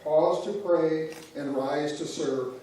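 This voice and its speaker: A man's voice: fairly high pitch, takes it slowly, dark tone, a little hiss or hum. A man playing a minister who leads a formal groundbreaking prayer in a church reader's theater. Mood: prayerful. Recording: echoey church sanctuary, distant mic.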